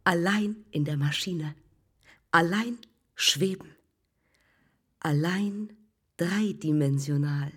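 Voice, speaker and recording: A woman's voice: on the low side, slow pace, pauses often, slightly rough. An actress playing a proud, ambitious young woman aviator haunted by failure, speaking in clipped fragments in a poetic radio play. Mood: dreamy.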